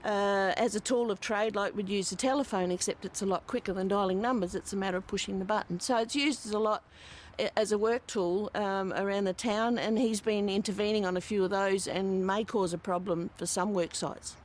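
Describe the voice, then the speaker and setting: A woman giving measured, disapproving interview comments with some hesitation. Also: mid-range voice, steady tone.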